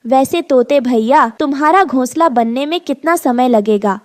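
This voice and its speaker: A woman's voice: high voice, normal speed, no echo, clean studio sound, lightly acted. A woman playing a worried, weary expectant mother bird in a cartoon story, polite, pleading and fretful. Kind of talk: animated moral story dub.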